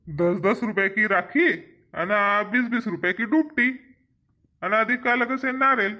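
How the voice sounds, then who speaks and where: A man's voice: sits high, a little slow, bright, forceful tone. A man voicing a stingy, bossy village husband in a cartoon comedy, with a rural eastern Maharashtra border accent and a sarcastic manner. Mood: grumbling. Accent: rural eastern Maharashtra, Balaghat-Gondia border region. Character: stingy, grumbling village husband refusing to pay.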